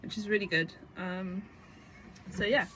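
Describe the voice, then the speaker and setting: Young woman, a bit croaky with a cold, casual chatty vlogger, mid-range voice, noisy home recording. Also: medium pitch, fairly even intonation, a little slow. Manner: chatty, rambling with many fillers. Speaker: vintage reseller vlogger. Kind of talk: casual chat. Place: home indoors. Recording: home recording with clear background noise.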